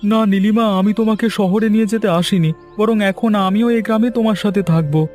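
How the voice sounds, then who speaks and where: A man's voice: high voice, steady tone, fairly quick, darkish tone, faint music behind, natural voice. A man voicing a regretful, resigned husband sunk in debt in a cartoon folk tale. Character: debt-ridden young husband back from the city. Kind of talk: cartoon fairy-tale dialogue.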